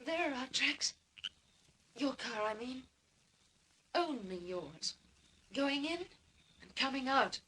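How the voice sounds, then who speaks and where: Anxious young heroine, Australian, high and very animated, earnest and insistent, vintage radio thriller. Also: female voice, takes it slowly, pauses often, a little hiss or hum, heavily acted, low-quality recording. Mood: puzzled, insistent. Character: anxious, earnest young woman, the detective's companion. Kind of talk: old-time radio drama acting.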